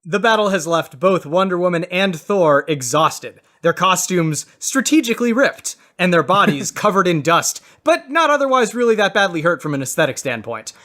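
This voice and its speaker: A man's voice: high voice, sing-song, normal speed, few pauses, sharp tone. A man moderating a comedy podcast with theatrical, mock-grandiose scripted narration.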